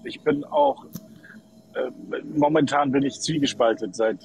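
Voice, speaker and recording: A man's voice: mid-range voice, wildly swooping pitch, a little slow, gravelly, darkish tone, slight background noise, poor audio. A man from Kosovo calling into a livestream, chatting in an opinionated, colloquial way and repeating himself for emphasis. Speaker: live call-in guest. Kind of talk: casual opinionated chat.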